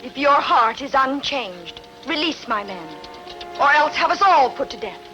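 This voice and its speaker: A woman acting a proud, scheming queen in a dubbed historical epic, solemn and measured in her dramatic delivery. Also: sits high, lively intonation, pushed and bright, muffled recording, faint music behind, heavily acted. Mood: proud longing.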